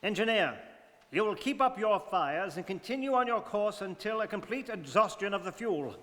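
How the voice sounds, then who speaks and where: A man playing a cool, commanding, courteous English gentleman in a staged radio drama, speaking formally. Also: sits high, animated, moderate pace. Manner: formal, imperious. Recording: hall reverb, community studio performance.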